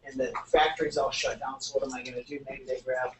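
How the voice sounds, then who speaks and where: A man's voice: mid-range voice, flat delivery, quick pace. Man lecturing in an echoey classroom. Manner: explains step by step, repeats keywords. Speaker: sociology instructor. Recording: echoey room, mic far off, faint noise.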